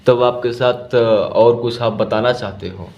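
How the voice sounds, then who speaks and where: A man's voice: medium pitch, fairly even intonation, a little slow, a little mellow, lo-fi sound. A man hosting a recorded phone interview as a guru, asking probing questions and prompting with short acknowledgements. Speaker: guru and channel host interviewing a follower. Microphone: phone call.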